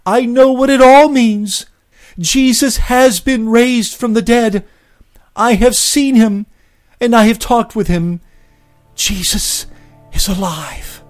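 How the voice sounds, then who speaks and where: A man's voice: sing-song, measured pace, pauses often, mellow, exaggerated voice. A man putting on a pleading grieving woman. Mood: joyful. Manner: high, pleading, emotional. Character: grieving young woman, desperate then overjoyed. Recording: faint music behind.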